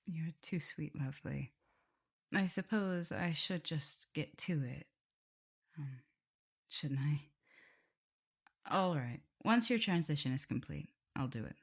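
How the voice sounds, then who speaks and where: A woman playing an affectionate, teasing vampire mistress in an intimate ASMR roleplay, speaking softly and tenderly with a breathy, husky voice. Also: low-pitched, animated, exaggerated voice. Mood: tender, apologetic. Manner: soft, unhurried, tender, gently teasing. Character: affectionate, teasing female vampire mistress and professor, doting lover.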